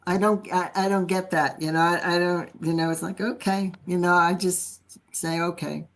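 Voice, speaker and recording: A woman's voice: on the low side, level tone, normal speed. A woman with a New Jersey accent, an astrologer chatting on a video call, hesitant and full of fillers. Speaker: astrologer guest. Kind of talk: casual chat.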